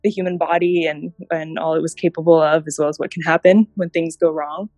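A woman in her thirties, raised in Japan, speaking as an interview guest and reflectively recounting how she came to work in healthcare, with thoughtful pauses. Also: deep voice, steady tone, darkish tone, no echo. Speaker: guest, healthcare innovation director. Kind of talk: interview conversation.

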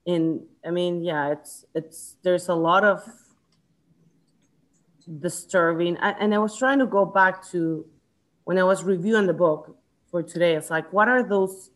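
A woman's voice: fairly low pitch, poor audio. A woman from Chile discussing a book in casual conversation, with frequent fillers, restarts and hesitations. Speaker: book club member.

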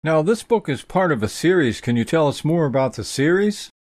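Lively higher-voiced male interviewer, dry. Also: a little fast, dry recording. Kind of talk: asking interview questions.

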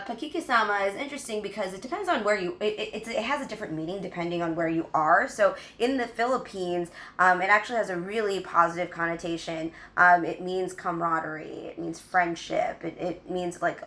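Halting, um-filled woman in her thirties. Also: lowish voice, sharp tone. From United States. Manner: halting, many ums and restarts. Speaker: guest. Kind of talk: casual interview talk.

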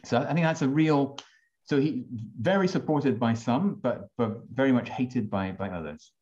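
A man's voice: mid-range voice, moderate pace, darkish tone, muffled recording. A French-born man on a discussion panel, talking with many restarts and fillers. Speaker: panel guest.

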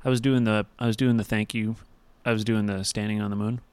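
A man's voice: lowish voice, level tone, fast talker, a little mellow, clear, resonant tone, no echo. A young man co-hosting a podcast in casual chat, rambling with many restarts and crude jokes, talking into a mic with headphones on. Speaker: podcast co-host.

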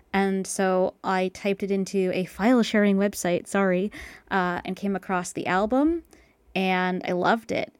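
A guest on a casual podcast, telling personal stories in a chatty way with plenty of fillers. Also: female voice, mid-range voice, smooth, no echo. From United States. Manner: chatty, storytelling with many fillers.

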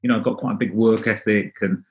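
A middle-aged man from North Wales, Welsh as his first language, answering interview questions in a halting, casual way with many restarts. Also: lowish voice, fairly even intonation, dull audio. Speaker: interview guest.

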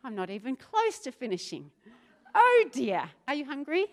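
A woman's voice: high-pitched, huge pitch swings, moderate pace. A woman with a New Zealand accent preaching a sermon, talking with the crowd and building to fervent passages. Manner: builds to fervent, rising intensity; asks the crowd questions, conversational asides.

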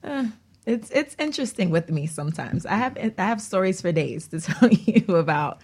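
A woman's voice: mid-range voice, lively intonation, moderate pace, darkish tone, slightly rough. A woman telling personal stories in a casual, chatty way, acting out bits of dialogue as a talk show guest. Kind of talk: casual conversational storytelling.

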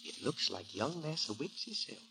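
Sarcastic working joe, tractor driver, bouncy pitch, crackly 30s radio comedy. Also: male voice, very animated, moderate pace, vocal fry, sharp tone, full character voice. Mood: sardonic. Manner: wisecracking, sardonic. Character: gruff, sarcastic working-class tractor man. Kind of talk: 1930s radio comedy acting. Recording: noisy old 1930s radio recording.

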